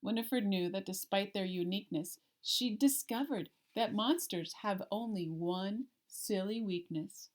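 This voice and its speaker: A woman reading a children's picture book aloud like a teacher, with deliberate, expressive storytelling and emphasis on the rhymes. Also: medium pitch. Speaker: elementary art teacher.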